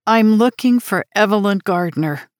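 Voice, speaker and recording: A woman's voice: mid-range voice, expressive, moderate pace, no room sound, clean studio sound, voice pushed up, close to an everyday voice. Woman reader doing a young American woman: urgent, pleading, raised. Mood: earnest.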